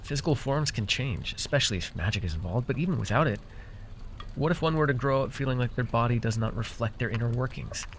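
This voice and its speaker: Casting: male actor as fantasy herbalist-mage, medium pitch, proud, theatrical, easily flustered, intimate roleplay ASMR delivery, slight background noise. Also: lively intonation, fast, lightly acted. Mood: pensive, confiding. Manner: theatrical boasting that slips into sheepish, stammering asides. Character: proud, easily exasperated young magical herbalist who gave away his name.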